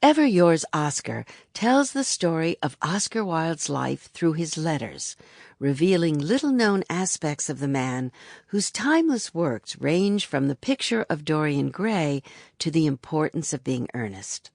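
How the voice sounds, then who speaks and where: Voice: mid-range voice, sing-song, unhurried, gravelly, a little mellow, dry recording, clean studio sound. An audiobook announcer reading a scripted promotional introduction in a polished, presentational manner.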